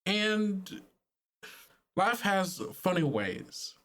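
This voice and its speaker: Here is a man acting as a gentle, folksy woman from a tabletop roleplaying game. The voice sits raised in pitch, and the delivery is consoling and halting, quietly set on persuading. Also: sing-song, full character voice.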